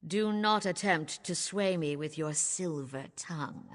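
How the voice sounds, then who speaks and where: A woman voicing a scornful, cruelly seductive usurper queen in a video game, husky, imperious and disdainful. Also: low voice, measured pace. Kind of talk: video game character acting. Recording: long reverb of a large hall.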